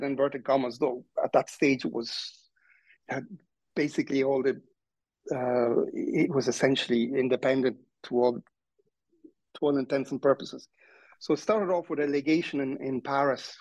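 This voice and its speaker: A man's voice: medium pitch, steady tone, moderate pace, darkish tone, dry recording. A man from Ireland in his fifties, an author being interviewed, speaking hesitantly with many fillers and restarts. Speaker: author guest. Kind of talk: podcast interview. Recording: dull, dry remote audio.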